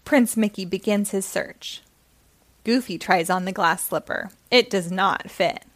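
A woman reading a story aloud to young children in an expressive, deliberate way with simple phrasing. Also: medium pitch.

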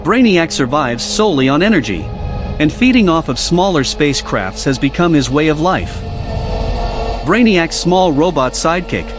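A man's voice: on the high side, expressive, moderate pace, music in the background. A man narrating a scripted audio drama in a dramatic storytelling style.

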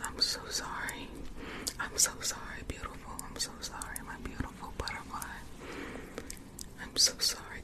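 A woman whispering softly and soothingly in ASMR style, repeating gentle phrases close to the microphone. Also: poor audio. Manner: soft, soothing, repetitive whisper. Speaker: ASMR livestream host.

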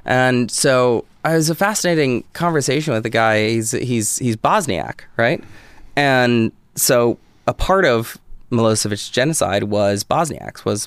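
A man from Southern California, a podcast guest telling a story in casual conversation, with quick restarts and lots of "like" and "you know". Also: on the low side, creaky, a bit bright, smooth, no echo.

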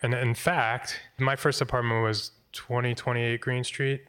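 A man's voice: medium pitch, little pitch movement, a little slow, a bit bright, smooth. A middle-aged man with an American accent, chatting casually as an interview guest about his working life. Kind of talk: casual conversational storytelling.

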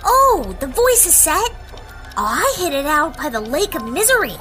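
A woman's voice: sits high, very animated, moderate pace, pushed and bright, soft background music, heavily acted. A woman playing an imaginative young girl who plays adventure games with a childlike manner. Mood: anxious. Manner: playful, breathless, childlike. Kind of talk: audio drama acting.

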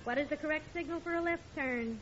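High-voiced exasperated radio sitcom wife. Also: female voice, fast talker, a bit bright. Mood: testing, impatient. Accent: American. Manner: dry, reproving. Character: exasperated, sharp-witted middle-aged sitcom wife and mother. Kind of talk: 1950s radio sitcom acting. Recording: dull old radio recording, faint noise.

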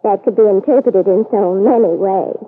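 A woman's voice: medium pitch, moderate pace, lightly acted. A woman playing a gracious, politely probing houseguest in an old-time radio soap opera, with delicate, coy hesitations. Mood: probing curiosity. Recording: tinny, noisy old radio broadcast.

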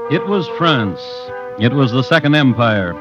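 An American man narrating a 1950s radio crime series as host, with a wry, deliberate storytelling manner. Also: medium pitch, sing-song, music in the background. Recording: muffled vintage radio recording. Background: prominent musical accompaniment.

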